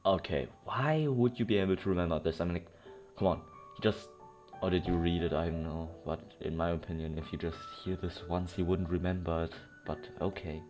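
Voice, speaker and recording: A man's voice: low voice, a little fast, darkish tone. A man giving casual running commentary on a game he plays, rambling and thinking aloud in an incredulous way. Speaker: gamer doing a playthrough. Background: game music.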